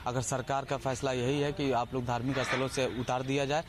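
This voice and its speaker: A man's voice: mid-range voice, flat delivery, fairly quick. A man speaking as a local interviewee in an unscripted street interview. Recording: noisy outdoor urban recording.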